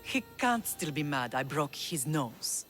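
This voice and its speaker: A woman's voice: low voice, sing-song, measured pace, a bit bright, heavily acted. A resolute Spartan mother in a game cutscene, middle-aged and proud. She instructs firmly and solemnly over faint music. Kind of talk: dramatic video game cutscene dialogue.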